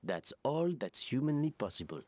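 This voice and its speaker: A man's voice: mid-range voice, sing-song, moderate pace, dark tone, dry recording. A man playing an earnest, encouraging restaurant worker in a video game, emphatic and sincere.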